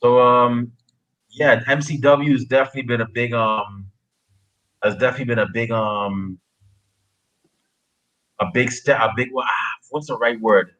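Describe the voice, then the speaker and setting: A man from Guyana hosting a casual interview, speaking haltingly and often repeating phrases as he goes. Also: medium pitch, a bit bright, dull audio. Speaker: podcast interviewer. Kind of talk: casual chat.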